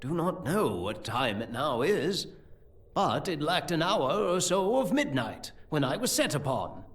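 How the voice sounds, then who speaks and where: A haughty villainous priest in a fantasy audio drama. His fairly high voice moves slowly with wide swings of pitch, sly, precise and full of mock courtesy. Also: big pitch swings. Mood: sardonic.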